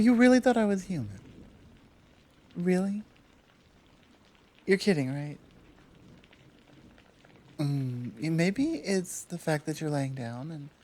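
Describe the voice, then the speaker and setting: A woman playing a tall, powerful, protective Amazon in an intimate ASMR roleplay, talking softly and teasingly with halting pauses. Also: low voice, full character voice. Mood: amused, incredulous.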